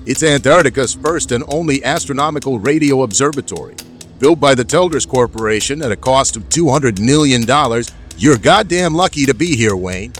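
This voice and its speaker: Brash corporate pitchman, played by a man. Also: expressive, normal speed, faint music behind, natural voice.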